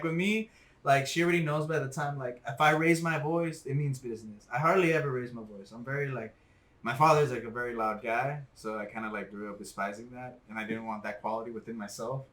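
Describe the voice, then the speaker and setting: A man talking quickly in an easygoing group conversation. He rambles through his stories and fills them with "like" and "you know." His pitch sits in the middle range for a man. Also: a bit bright. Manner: filler-heavy, rambling storytelling. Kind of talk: casual chat.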